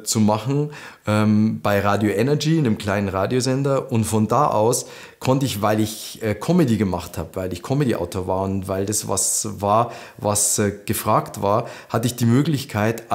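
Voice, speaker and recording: Man, 30s, Lower Bavarian accent, lively to-camera storyteller, mid-range voice, recorded in a living room. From Lower Bavaria, Germany. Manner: chatty, animated, gestures with hands. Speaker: host.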